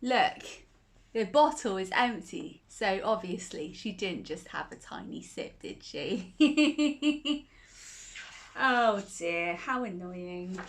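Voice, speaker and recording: Slow, cozy woman reading a children's story. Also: mid-range voice, big pitch swings. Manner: cozy, playful, laughs between lines. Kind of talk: reading a picture book aloud with chatty asides.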